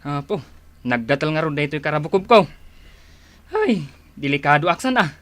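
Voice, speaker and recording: A woman's voice: sits low, lively intonation, a little fast, a bit bright. A woman playing a whiny, scheming village spouse who feigns illness in a radio drama, complaining plaintively with an Ilocano accent. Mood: worried, complaining.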